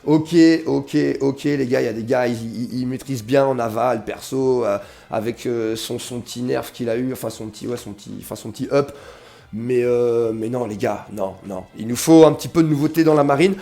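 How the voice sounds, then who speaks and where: A man's voice: medium pitch, fairly quick, gravelly, mellow. A young man with a slight cold giving casual, slangy gaming commentary to his viewers, often reading game text aloud. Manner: chatty, slangy, addresses viewers as "les gars", reads game text aloud. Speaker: mobile game video creator.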